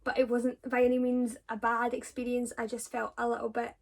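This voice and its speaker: A young woman with a Scottish accent, her voice sitting a little high. She talks casually through viewers' questions, rambling with many fillers and restarts. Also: monotone, brisk, creaky, a little mellow. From Scotland. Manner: chatty, with frequent fillers and self-corrections. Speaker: drama student vlogger.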